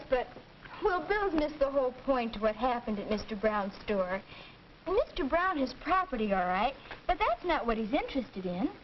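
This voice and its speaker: An opinionated teenage girl argues insistently in a high, very expressive voice. It is 1940s instructional-film acting on a dull old soundtrack. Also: a little hiss or hum. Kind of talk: 1940s educational film acting, scripted debate.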